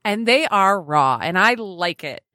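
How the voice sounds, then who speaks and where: A woman's voice: mid-range voice, animated, a little slow, bright, forceful tone, no echo, clean studio sound. A woman hosting a podcast interview in a casual, playful, gushing way with informal banter. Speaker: podcast host.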